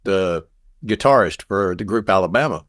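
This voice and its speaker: A man's voice: deep voice, moderate pace, no echo, studio quality. A man from Texas co-hosting a podcast, telling anecdotes in a casual, joking way like a former radio presenter. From Texas, United States. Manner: animated, joking, acts out quoted dialogue.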